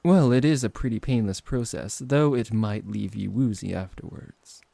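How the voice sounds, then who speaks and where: A man acting out a smooth-talking, possessive vampire professor in an intimate ASMR roleplay, politely condescending and teasing, close to the microphone. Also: fairly low pitch, normal speed, dark tone, no room sound. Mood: persuasive, polite. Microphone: close microphone, dry.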